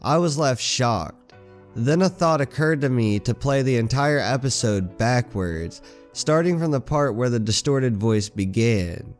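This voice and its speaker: Male horror reader, raspy from a cold, mid-pitched, faint music bed. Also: expressive, a little slow. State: hoarse with a cold. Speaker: creepypasta narrator. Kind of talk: reading a horror story aloud.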